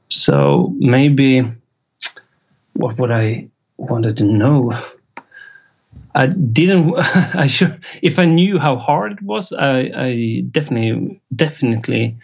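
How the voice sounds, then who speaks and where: Slow, gravelly Swedish-accented man. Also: mid-range voice, a little mellow. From Sweden. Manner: halting, many filler pauses, chuckles often. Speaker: independent musician guest. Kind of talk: casual interview chat. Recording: dull lo-fi sound.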